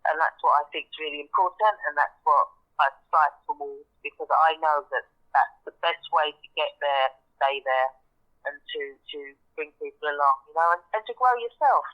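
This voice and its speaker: A woman's voice: low-pitched, normal speed. Effusive woman on a dull phone line. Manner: effusive, appreciative, repeats words for emphasis. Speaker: guest. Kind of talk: casual conversational interview. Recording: dull telephone call sound.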